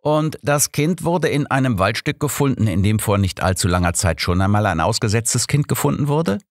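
Guy reading as old detective man in an audiobook, composed, formal, pitched up a bit from narration, very dry sound. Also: animated, no room sound, natural voice. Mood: probing. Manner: formal, measured questioning. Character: elderly male detective, courteous, probing, composed. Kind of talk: audiobook character dialogue.

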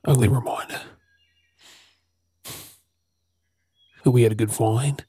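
An Australian man playing a gruff but caring zombie apocalypse survivor in a breathy, often whispered ASMR role-play. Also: medium pitch, fairly even intonation, fast talker, dark tone, no room sound. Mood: hushed, tense.

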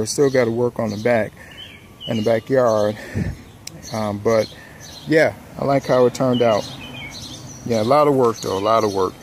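A man's voice: medium pitch, a little hiss or hum. A man casually walking through his yard work on a handheld phone camera, talking loosely with lots of fillers and restarts, outdoors. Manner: relaxed, rambling, many fillers and restarts. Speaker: home improvement vlogger. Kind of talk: casual filming-as-he-works walkthrough. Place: outdoors in a home front yard.

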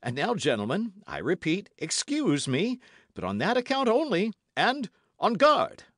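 A man's voice: sits high, huge pitch swings, a little slow, a bit bright, no echo, clean studio sound, pitched above the performer's own voice, heavily acted. A man voicing a bold young Gascon swordsman, gallant and proud, with a ringing, impassioned delivery. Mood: defiant.

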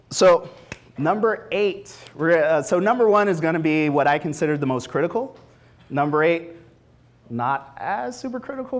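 A man in his forties presenting informally at a tech meetup, conversational, with animated asides, speaking into a lapel mic. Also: on the high side. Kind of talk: informal technical presentation with demos. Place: meetup room, standing before a small audience.

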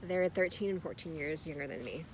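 A woman's voice: deep voice, a little fast. A woman in her thirties chatting casually in a vlog, filmed on a phone held at arm's length outdoors and in a car. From United States. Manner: chatty, self-correcting, recorded at arm's length while walking and riding. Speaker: vlogger. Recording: noisy phone recording outdoors.

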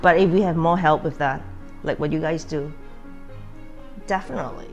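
A woman's voice: deep voice, moderate pace, faint music behind. A woman from Malaysia who owns a tea restaurant, speaking earnestly in an interview in her dining room. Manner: conversational, earnest. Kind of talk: interview testimonial.

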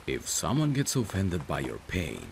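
A man's voice: deep voice, wildly swooping pitch, moderate pace, creaky, background noise. A man acting a romantic ASMR roleplay as a caring, protective friend, speaking tenderly and intimately. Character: caring, protective male friend secretly in love. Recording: rain ambience behind the voice. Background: rain.

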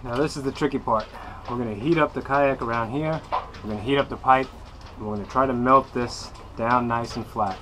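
A man in his thirties casually narrating a how-to project on a docked boat, easygoing and quick to laugh at himself. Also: medium pitch, steady tone, over music. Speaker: fishing video host.

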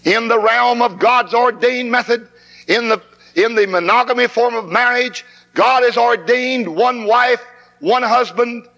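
A man with a very high, bright voice preaches an old-time camp meeting sermon. He is fervent and emphatic. The sound is an old 1960s tape recording with long hall reverb. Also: a little slow, highs cut off.

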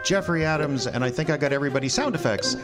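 A man's voice: a little high, lively intonation, fast, music in the background. A man announcing the closing credits of a radio theater show. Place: live stage before an audience.

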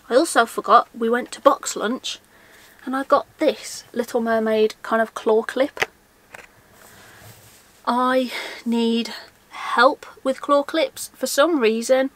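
A woman's voice: fairly high pitch, fairly bright tone, no echo. A young woman chatting casually through a haul vlog, often asking herself questions and laughing at her own forgetfulness. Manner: chatty, self-mocking, asks herself questions aloud. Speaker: vlogger. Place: indoor room lined with shelves of backpacks.

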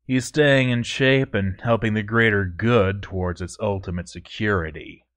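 A proud dwarf overseer, acted out by a man, narrates his fortress journal in a fairly low voice. He is formal and measured, with a self-important, dutiful air, as in a scripted fantasy story. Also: fairly even intonation, fairly bright tone, lightly acted. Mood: self-assured. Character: proud, stern male dwarf fort overseer, grandly dutiful.

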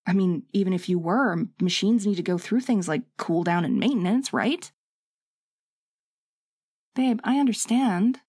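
A woman acts out a sassy, loving wife in an intimate audio roleplay. Her voice sits at a medium pitch, recorded very dry, as she nags and coaxes like someone who cares. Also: expressive, unhurried, long pauses, a little mellow, breathy edge, no echo. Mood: worried, caring. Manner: scolding, teasing, coaxing, conversational. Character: bossy, sassy but loving worried young wife.

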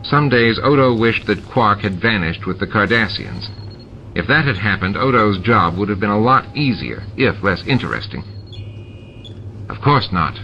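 A man narrating a novel aloud in a steady, even storytelling manner. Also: fairly low pitch, moderate pace, creaky, a little hiss or hum. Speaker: audiobook reader. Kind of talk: scripted narration. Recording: dull lo-fi with music behind.

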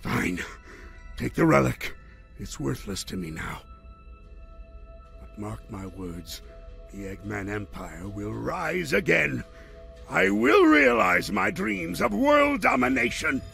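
A man playing a pompous, theatrical middle-aged mad-scientist villain in a cartoon video game, with a gravelly voice. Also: a little high, very animated, takes it slowly, pauses often, a bit bright, soft background music, full character voice. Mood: bitter, grandiose.

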